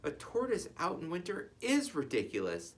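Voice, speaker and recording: Young girl tortoise for children's storybook, reader acting plaintive, stubborn, earnest, animated. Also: medium pitch, moderate pace, far from the mic. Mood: sad.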